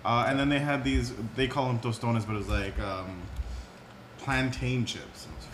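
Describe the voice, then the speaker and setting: Quipping man in an echoey room. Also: mid-range voice. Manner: quick interjecting quips. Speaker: podcast co-host. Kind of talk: casual podcast banter. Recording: echoey room with background noise.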